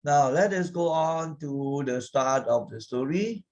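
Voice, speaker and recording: A man's voice: a little high, lively intonation, a little slow, darkish tone, highs cut off. A man with a Malaysian accent telling a story as a teacher, explaining as he goes and often checking with little tag questions. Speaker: teacher narrating a moral story.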